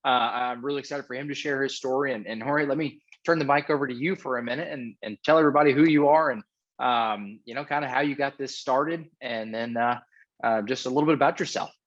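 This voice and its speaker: A man's voice: mid-range voice, quick pace. Eager podcast host man, lo-fi sound. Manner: eager, stumbling repeats. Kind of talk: casual podcast hosting.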